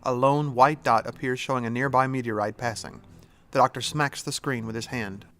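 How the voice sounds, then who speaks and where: A man's voice: mid-range voice, fairly even intonation, normal speed, a bit bright. A man reading scripted narration for an audio drama, telling the scene in the present tense.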